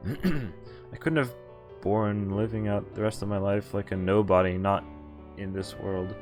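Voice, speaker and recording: Low-voiced man reading a game aloud. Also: fairly even intonation, a little mellow, soft background music. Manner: halting, often pausing to mutter asides. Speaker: game streamer. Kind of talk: visual novel read-aloud with casual commentary.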